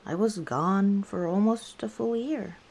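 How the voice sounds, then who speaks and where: A woman playing a guarded, gently wry orc tavern owner in an intimate ASMR roleplay, speaking reflectively with hesitant pauses. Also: mid-range voice, creaky. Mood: wistful, warm. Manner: unhurried, reflective, hesitant pauses, soft chuckles.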